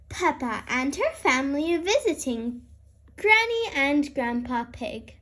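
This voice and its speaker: A woman reading a children's picture book aloud as host, expressively and aimed at young listeners. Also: sits high. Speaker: host and read-aloud storyteller.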